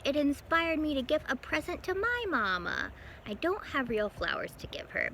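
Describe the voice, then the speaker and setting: A high-pitched woman leads a children's story time. She speaks in an animated, sing-song manner, coaxing small listeners along gently. Clear noise sits behind her voice. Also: low-quality recording. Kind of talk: children's story time, talking and singing to young viewers.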